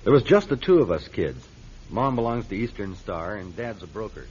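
An actor playing a polite, folksy young American pilot held prisoner, with a Midwestern American accent. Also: male voice, fairly low pitch, sing-song, moderate pace, darkish tone. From Milwaukee, USA. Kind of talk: 1940s radio acting. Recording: lo-fi vintage radio recording with faint noise.

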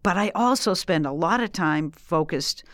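A woman's voice: lowish voice, moderate pace, no echo, clean studio sound. Emphatic Boston woman in her sixties. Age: 60s. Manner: emphatic, animated, laughs often. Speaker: interview guest. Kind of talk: energetic conversational interview.

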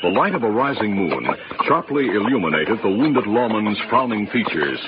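A man's voice: lowish voice, sing-song, normal speed, heavy background noise. A man narrating a western adventure in a dramatic old-time radio style. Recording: muffled vintage radio, loud music behind.

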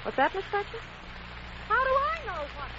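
An actress playing a brisk, exasperated, wisecracking secretary on the phone in a 1940s radio comedy. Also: female voice, very high voice, very animated. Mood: sassy, flustered. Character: brisk sassy secretary fielding a demanding caller on phone. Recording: dull noisy old radio recording.

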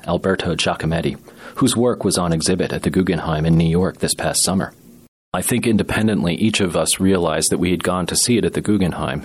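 A man hosting a magazine podcast, reading scripted links and asking measured questions of his guests. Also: sits low, slightly rough. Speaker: podcast host and interviewer. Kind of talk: scripted podcast narration and interviewing.

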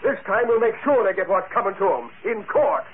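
High-pitched, brisk police sergeant. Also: male voice, animated. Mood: determined. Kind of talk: old-time radio drama acting. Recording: noisy, tinny old radio broadcast.

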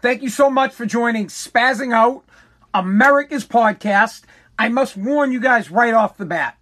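High-pitched hoarse bombastic man. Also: measured pace, fairly bright tone. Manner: bombastic, stammering, rambling. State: hoarse, lost voice. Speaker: podcast host. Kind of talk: comedic political rant.

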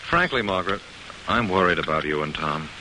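Blunt, low-voiced radio drama gentleman. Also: very animated. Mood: concerned. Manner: brisk, blunt, formal diction. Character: practical, skeptical, well-connected gentleman lawyer friend. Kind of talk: old-time American radio drama acting. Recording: hissy vintage radio drama recording.

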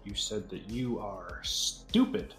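A man co-hosting a podcast, making dry, deadpan quips in casual comedic chat. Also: lowish voice, takes it slowly, soft background music. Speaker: podcast co-host.

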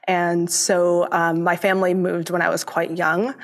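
A young woman, born in Hong Kong and raised in Florida, speaking as a lay youth minister in an interview, thoughtful and pausing often with ums. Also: fairly low pitch, little pitch movement. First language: Cantonese. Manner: thoughtful, with many ums and pauses. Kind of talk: interview conversation.

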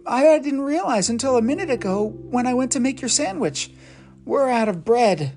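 A woman voicing a gentle, patient mother in a children's story, soft and coaxing. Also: on the high side, animated, normal speed, pitched above the performer's own voice. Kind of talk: storybook character voice.

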